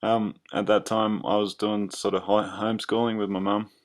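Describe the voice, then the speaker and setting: A young Australian man casually telling the story of his childhood, rambling with lots of fillers over soft background music. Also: lowish voice, flat delivery, moderate pace. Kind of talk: casual narration over drawings.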